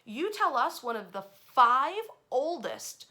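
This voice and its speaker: A woman's voice: high voice, takes it slowly. An American woman hosting a history storytelling series, speaking in a casual, conversational and emphatic way. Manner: animated and emphatic, acts out short quotes.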